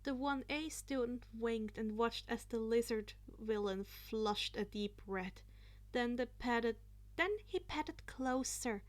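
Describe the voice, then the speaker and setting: A woman narrating fan fiction aloud in an expressive, playful amateur style, now and then stumbling over a word. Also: fairly high pitch. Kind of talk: expressive amateur story reading.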